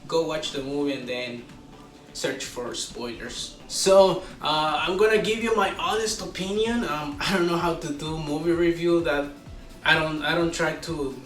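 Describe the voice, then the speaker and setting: A man hosting a casual, unscripted movie review, talking like an excitable fan with drawn-out exclamations. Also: fairly high pitch, distant mic, faint music behind. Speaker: host of a movie review show. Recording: roomy echo, off-mic, faint background noise.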